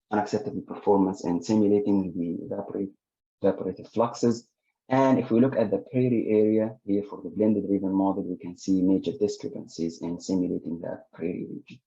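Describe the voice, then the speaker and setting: A man's voice: lowish voice, dark tone, dull audio. A man giving an academic webinar presentation, talking through results with frequent fillers and restarts.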